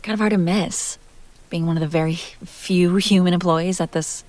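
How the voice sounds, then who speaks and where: A woman's voice: on the low side, steady tone, moderate pace, a little mellow, close to an everyday voice. A woman playing a self-assured, playful vampire heiress in an intimate roleplay audio drama, talking directly to the listener. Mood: teasing, reassuring.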